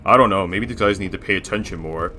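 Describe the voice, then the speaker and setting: A man's voice: low-pitched, fast talker, pushed and bright, slight background noise. A man voicing a boastful, bossy cartoon bear boss in rambling, self-satisfied improvised banter. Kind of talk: improvised character reaction banter.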